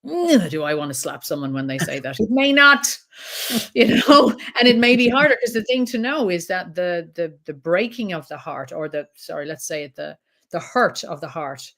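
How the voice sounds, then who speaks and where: A woman with an Irish accent, a trauma expert talking as a guest in an interview, blunt and animated, swearing freely. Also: on the low side, normal speed. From Ireland. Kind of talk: podcast interview conversation.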